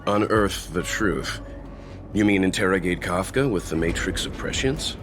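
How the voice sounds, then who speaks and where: A man's voice: sits low, animated, moderate pace, noisy background. An actor playing a serious, questioning male traveller in a video game. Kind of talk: dramatic video game voice acting.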